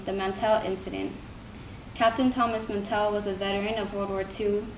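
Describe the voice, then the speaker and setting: A young woman giving an earnest, informal persuasive speech, like a student presenting to a class. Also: mid-range voice. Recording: roomy, distant mic, noisy background.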